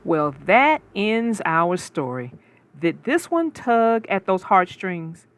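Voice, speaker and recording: Slow expressive woman storyteller. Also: mid-range voice, faint music behind. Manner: expressive, sing-song reading. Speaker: storybook narrator and host. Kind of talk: children's read-aloud narration.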